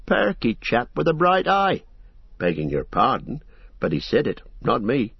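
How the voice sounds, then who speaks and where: A man's voice: medium pitch, huge pitch swings, unhurried, creaky, slightly rough, no room sound, voice pushed up, heavily acted. A man doing a fussy rambling innkeeper. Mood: chatty, apologetic. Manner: flustered, long-winded, apologetic. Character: fussy, rambling middle-aged male innkeeper. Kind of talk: audiobook character voice. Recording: slightly muffled audio.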